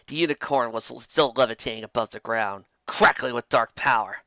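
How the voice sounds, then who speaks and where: A man reading fantasy fiction aloud as an expressive amateur storyteller, now and then stumbling over a word. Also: medium pitch, a little fast, no room sound, lo-fi sound. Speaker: story narrator.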